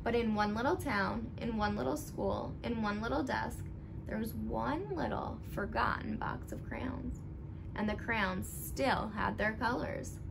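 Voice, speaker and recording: A young woman reading a picture book aloud expressively, with animated, deliberate emphasis for young listeners. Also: a little high. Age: young adult. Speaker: storybook reader. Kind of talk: expressive children's read-aloud. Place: living room at home. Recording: clearly noisy home recording.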